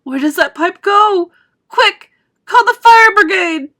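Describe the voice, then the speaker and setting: A woman's voice: very high voice, lively intonation, takes it slowly, sharp tone, pitched above the performer's own voice, full character voice. A woman voicing a frantic, bossy mother in a storybook reading, screaming her urgent commands. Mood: frantic, demanding.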